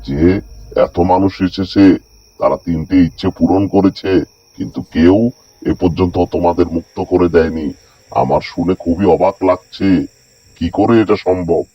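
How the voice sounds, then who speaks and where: A man's voice: low voice, normal speed, long pauses. A man playing an honest, kind village fisherman in a cartoon folk tale, earnest and questioning. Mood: puzzled, doubtful. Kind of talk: animated folk tale acting. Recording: dull lo-fi sound, music behind.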